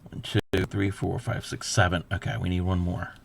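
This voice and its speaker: A man crocheting on a livestream, counting stitches softly under his breath and chatting casually with viewers. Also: low voice, little pitch movement, normal speed, gravelly, a little hiss or hum. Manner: laughs at himself, chats back to viewers.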